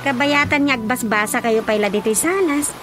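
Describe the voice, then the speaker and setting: An actress playing a cheerful, dutiful grown daughter in a Filipino radio comedy drama, speaking expressively and affectionately with a Filipino accent. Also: female voice, a little high, normal speed, close to an everyday voice. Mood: cheerful, caring. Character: Rosa, cheerful, dutiful grown daughter fussing over her father. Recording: radio drama with music behind.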